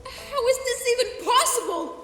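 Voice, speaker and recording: A woman voicing an inquisitive, puzzled female cartoon pony with an expressive delivery in an audio drama. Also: very high voice, normal speed, soft background music. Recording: roomy reverb.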